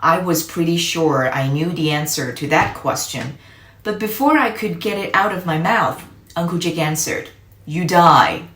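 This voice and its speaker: A woman reading a children's story aloud as narrator, in an expressive, deliberate way. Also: fairly low pitch. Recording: echoey room.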